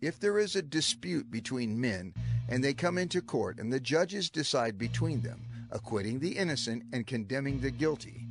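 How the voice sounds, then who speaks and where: An actor playing a solemn, commanding old male lawgiver in a dramatized scripture reading, with measured declamation. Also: mid-range voice, expressive, natural voice. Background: background music.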